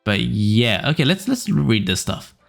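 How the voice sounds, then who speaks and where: A young man hosting a casual podcast, reading funny stories aloud in a smooth low voice, rambling with fillers and small laughs. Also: a little slow. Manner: relaxed, rambling, frequent fillers and little laughs. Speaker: podcast host. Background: faint background music.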